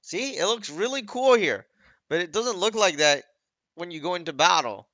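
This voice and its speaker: Male gaming commentator, casual and conversational, bright forceful tone, very dry close sound. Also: sits high, expressive, normal speed, dry recording, highs cut off. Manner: conversational, addresses viewers with questions. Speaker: video game commentator. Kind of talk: casual running commentary over game cut scenes.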